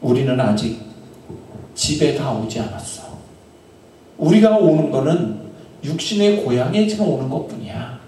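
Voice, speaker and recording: A middle-aged male pastor tells a story during a sermon in a small chapel, speaking into a handheld mic, with long pauses and phrases that trail off. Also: medium pitch, big pitch swings, mellow, a little hiss or hum. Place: small chapel in front of an altar. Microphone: handheld microphone. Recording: very echoey room, from a distance.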